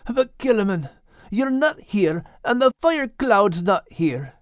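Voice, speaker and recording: A man's voice: high-pitched, very animated, slow, no room sound, clean studio sound, pitched above the performer's own voice, heavily acted. A man voicing a troubled, earnest furry animal with a folksy, pleading manner in an audiobook. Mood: anxious, pleading. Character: troubled, earnest furry animal, male, self-doubting friend. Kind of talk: audiobook character voice.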